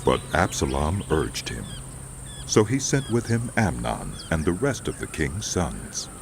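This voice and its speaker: Male scripture narrator, extremely deep and creaky, expressive dramatic reading over loud music. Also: unhurried, noisy background.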